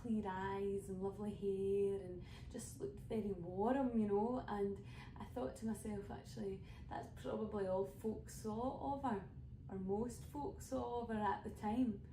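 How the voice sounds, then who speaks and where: A young Scottish woman talks through her set in a relaxed, rambling way, filling her sentences with ums and asides. Her voice has a gravelly edge. She sits back from the mic in an echoey room, with steady noise underneath. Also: medium pitch, a little slow. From west coast of Scotland. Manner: chatty, rambling storyteller with many fillers. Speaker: folk singer introducing her songs. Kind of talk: casual spoken introduction to an online showcase. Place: home room streaming online. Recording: roomy, distant mic with background noise.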